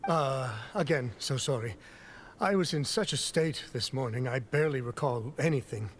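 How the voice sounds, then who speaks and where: A man's voice: medium pitch, moderate pace, slight background noise, lightly acted. An actor playing a nervous, apologetic male patient in a video game, speaking hesitantly and stammering.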